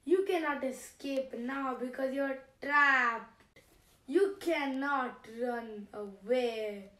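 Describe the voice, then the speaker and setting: Cackling storybook hunter, lower and slow, a woman putting him on, echoey room. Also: fairly bright tone, full character voice. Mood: gloating. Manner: cackling, taunting. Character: gloating male hunter, villainous.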